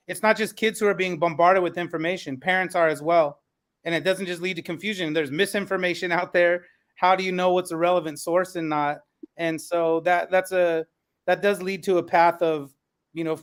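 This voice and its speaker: High-pitched man hosting a panel discussion. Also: fairly bright tone. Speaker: panel host and elementary school teacher. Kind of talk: moderating a panel discussion, conversational.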